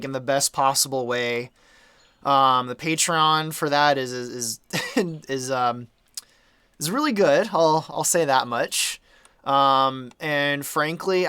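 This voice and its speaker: Bright, clear-voiced man with a sore throat. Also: mid-range voice, no room sound. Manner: chatty, rambling, easygoing. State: sore throat, slightly dry voice. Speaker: game modder and channel host. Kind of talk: casual development update talk.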